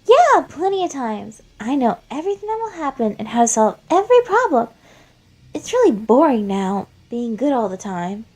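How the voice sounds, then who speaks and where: A woman's voice: on the high side, big pitch swings, moderate pace, a little mellow, pitched above the performer's own voice. A woman voicing a boyish talking flower in a fan fiction reading, a cruel son with a taunting, confiding manner. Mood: bored, boastful.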